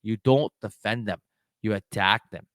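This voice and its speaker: A man playing a gruff, demanding hockey coach in a one-man film reenactment, commanding and repetitive as he drives his players. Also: on the low side, moderate pace, a bit bright, dry recording, lightly acted. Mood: intense, resolute.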